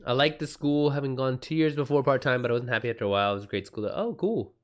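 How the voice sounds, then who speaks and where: A man's voice: mid-range voice, quick pace. A man hosting a livestream in a casual, informal style, reacting to chat comments with exclamations and swearing. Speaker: streamer, author hosting a live chat.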